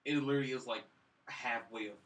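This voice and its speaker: A man's voice: medium pitch, fairly even intonation, fast, a bit bright. A young man co-hosting a casual film review podcast, bantering in a blunt, dismissive way. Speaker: podcast co-host reviewing films. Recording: roomy distant mic, background noise.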